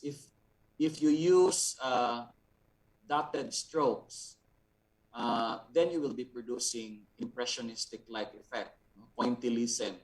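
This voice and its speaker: A man with a Filipino accent teaches in a mid-pitched voice, heard a little far from the microphone. He explains deliberately as an instructor, often repeating words and adding small check-in tags. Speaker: art instructor. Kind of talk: explanatory lesson with examples. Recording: distant, off-mic sound.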